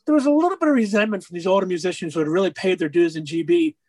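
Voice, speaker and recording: A man with a raspy speaking voice, a musician guest on an interview, talking casually and rambling through stories with lots of fillers. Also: sits high, racing, a little mellow, no room sound. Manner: rambling storyteller, many fillers.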